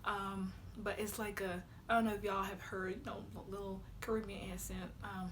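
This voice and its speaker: A woman's voice: mid-range voice, flat delivery, normal speed, fairly bright tone, slight background noise. A young woman from Honduras vlogging casually to camera in her room, chatty, with many fillers and frequent laughs. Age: young adult. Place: bedroom with a flag behind her.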